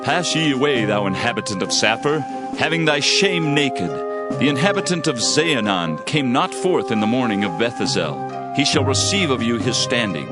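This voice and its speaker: Man acting as a grave prophet, animated delivery. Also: medium pitch, normal speed, close to an everyday voice. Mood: mournful. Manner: solemn, declamatory, archaic scripture phrasing. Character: grave male Hebrew prophet proclaiming judgment and lament. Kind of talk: dramatized audio Bible reading. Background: background music.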